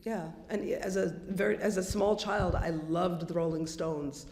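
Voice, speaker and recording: A woman from the United States, a band member, telling stories in a casual interview while slightly hoarse from losing her voice. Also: on the low side, steady tone, measured pace, darkish tone, slight background noise. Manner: chatty storyteller, many fillers and restarts. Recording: echoey room.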